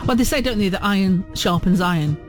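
Male radio interviewer, casual and enthusiastic, highish varied pitch, light music bed. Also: expressive, fairly quick. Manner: enthusiastic, encouraging.

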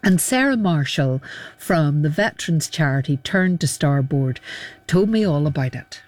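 A woman news presenter reading scripted links in a measured way. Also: sits low, expressive, a little mellow, slight background noise.

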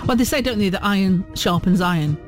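A man's voice: high voice, lively intonation, a little fast, faint music behind. A man interviewing guests on the radio in a chatty, upbeat way, often restarting his questions. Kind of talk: casual broadcast interview.

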